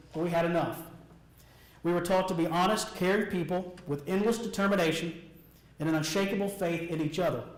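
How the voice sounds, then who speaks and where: An American man speaks in a high voice that rings with the echo of the room. He delivers a personal testimony as a prepared speech to an audience. His phrasing is short and deliberate, with earnest emphasis and repetition. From Alabama, United States. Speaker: motivational speaker. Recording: echoey room sound.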